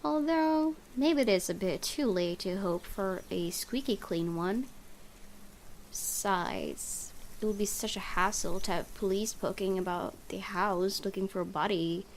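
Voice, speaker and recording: A woman's voice: medium pitch, a little slow. A woman game streamer reading story text aloud and adding casual commentary. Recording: faint background noise.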